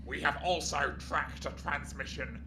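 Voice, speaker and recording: A woman voicing a grim servant who brings news to an empress in a slow, gravelly, half-whispered voice. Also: low-pitched, noisy background, pitched below the performer's own voice. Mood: ominous.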